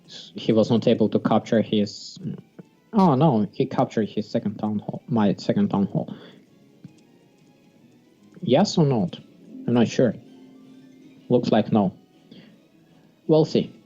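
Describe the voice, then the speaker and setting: A mellow, relaxed male voice, commentating casually on a strategy game. He speaks haltingly, at an ordinary middle pitch. The recording is a bit dull and lo-fi, with music playing behind him. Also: husky. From Sweden.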